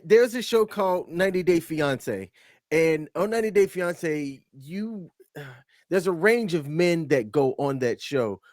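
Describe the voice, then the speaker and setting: A man with a slightly high voice talks through a casual reaction chat. He gets animated and breaks into loud, excited bursts. The sound is dry and close. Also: no echo. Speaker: co-host reacting to clips.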